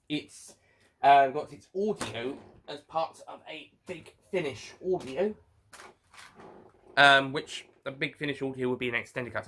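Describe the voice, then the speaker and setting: A man giving an informal spoken review to viewers, rambling and haltingly correcting himself as he goes. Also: mid-range voice, pushed and bright. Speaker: reviewer and host.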